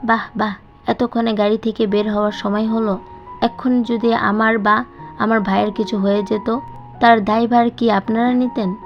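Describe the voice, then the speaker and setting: A woman voicing a hot-tempered, sharp-tongued young woman in an audio story, scolding and firing off questions. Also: on the high side, level tone, moderate pace, dull audio, soft background music, natural voice. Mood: sarcastic, furious.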